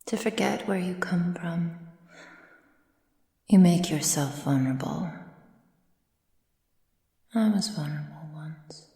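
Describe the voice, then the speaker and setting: A woman playing an ancient, proud, possessive aristocratic vampire in a soft, breathy ASMR roleplay with formal, measured delivery. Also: lowish voice. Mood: proud, reflective. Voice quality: breathy, soft, often near whisper. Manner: slow, formal, measured, imperious. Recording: roomy sound with long reverb.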